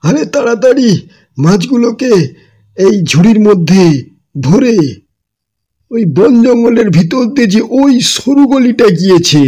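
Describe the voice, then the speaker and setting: A man's voice: sits high, dark tone, dry recording. A man voicing a sly, greedy pond watchman scheming in a cartoon. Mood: gloating, urgent. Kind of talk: cartoon dialogue.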